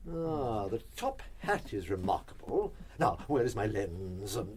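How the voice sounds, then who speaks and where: An actor playing an eccentric, self-assured gentleman detective in a radio drama, musing aloud and making deliberate, pompous pronouncements. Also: lowish voice, big pitch swings. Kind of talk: full-cast radio drama acting.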